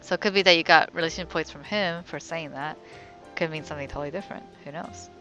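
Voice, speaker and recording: A woman with a fairly bright, slightly low voice gives casual game commentary. She rambles as she thinks aloud, with a wry edge. Soft music plays faintly behind her. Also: quick pace. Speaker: gamer, let's play host. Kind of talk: casual, chatty let's play commentary.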